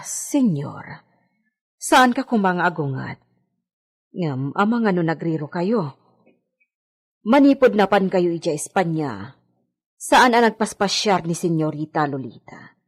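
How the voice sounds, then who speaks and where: Husky, expressive Filipino village woman. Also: fairly low pitch, heavily acted. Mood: concerned, questioning. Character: deferential, practical village woman, loyal household worker. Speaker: radio drama actor. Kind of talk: radio drama acting.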